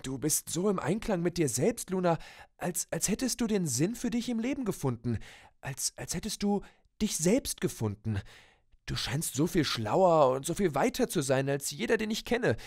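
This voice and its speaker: A man's voice: fairly high pitch, sing-song, a little mellow, slightly rough, dry recording, heavily acted. Guy doing shy teen boy in love, audio drama, stumbles over words, earnest, dry sound. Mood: admiring. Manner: hesitant, halting, earnest. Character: shy, nervous, earnest teenage schoolboy in love. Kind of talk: amateur audio drama acting.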